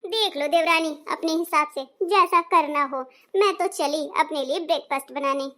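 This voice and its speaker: A woman's voice: sits high, normal speed, pushed and bright. A woman voicing a meddling elder sister-in-law in a cartoon, mocking and stirring up trouble. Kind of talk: cartoon voice acting.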